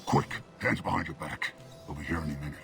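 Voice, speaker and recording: An actor playing a gruff, wary alien mercenary in a video game, terse and commanding. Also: male voice, extremely low pitch, fairly even intonation, a little fast, music in the background. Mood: urgent, hushed.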